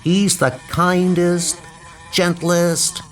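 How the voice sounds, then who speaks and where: A man's voice: high voice, flat delivery, drawn-out words, voice pushed up. A man voicing an earnest, polite schoolboy insect collector in a comic book dub, speaking precisely. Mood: defensive.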